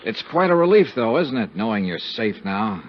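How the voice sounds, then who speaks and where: A tough, cynical American detective acted by a man from a 1950s radio serial. He speaks in clipped, sardonic slang with a very animated pitch. The old recording is dull, with faint noise behind him. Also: normal speed, natural voice. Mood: cold, sardonic. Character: hard-boiled male investigator, cynical, tough and world-weary. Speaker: lead actor and narrator of a radio detective serial.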